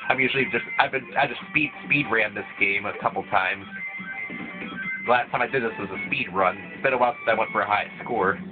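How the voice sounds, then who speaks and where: A man with a slight cold, rambling through casual gameplay commentary with lots of restarts. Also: mid-range voice. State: voice thickened by a cold. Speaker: let's play gamer. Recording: tinny, phone-like lo-fi mic. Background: video game music playing.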